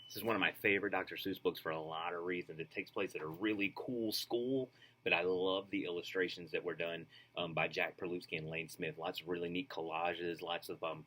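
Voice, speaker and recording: A man reading a children's storybook aloud, expressive and rhythmic, with casual asides. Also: low-pitched, husky. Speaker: storybook reader.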